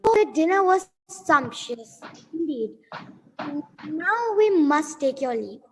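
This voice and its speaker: A woman playing a proper, nervous but defiant village mother in an amateur radio play, speaking in a halting, deliberate way. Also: high voice, sing-song, a little hiss or hum, exaggerated voice. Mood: polite.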